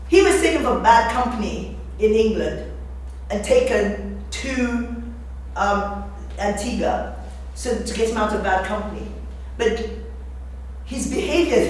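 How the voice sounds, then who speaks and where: A woman's voice: mid-range voice, darkish tone. A woman giving an informal lecture, speaking emphatically and conversationally, with rhetorical questions and repeated phrases. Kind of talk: informal lecture with readings from a novel. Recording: very echoey room, distant mic, faint noise.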